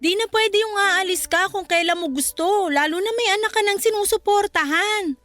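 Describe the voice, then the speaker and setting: High-pitched nagging young wife. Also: female voice, moderate pace, fairly bright tone, dry recording. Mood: exasperated. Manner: scolding, pleading. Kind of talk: Filipino radio drama acting.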